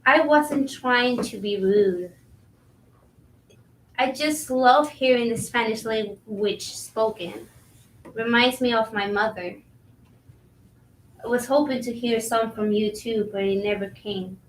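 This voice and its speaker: A woman's voice: on the high side, slow, long pauses, slight background noise. A woman playing a frank, overworked young restaurant worker in a staged play reading, heard from a distance in a roomy space. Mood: sincere, reflective. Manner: bilingual, switches between Spanish and English.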